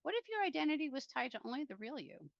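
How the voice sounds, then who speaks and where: A woman author presenting and reading from her self-help book in an earnest, deliberate way, speaking directly to the listener. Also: high-pitched, wildly swooping pitch, creaky, no room sound, dull audio.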